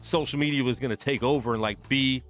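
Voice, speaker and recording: A man's voice: mid-range voice, fast talker, a bit bright, soft background music. A man in his forties hosting a radio show, chatting casually in the studio and laughing often. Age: 40s. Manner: laid-back, laughs often.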